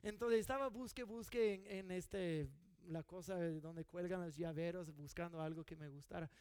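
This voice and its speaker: A man's voice: sits high, normal speed, a little mellow, clear, resonant tone, no echo. A middle-aged man from California preaching a sermon in an earnest, conversational way, often restarting his sentences. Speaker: pastor.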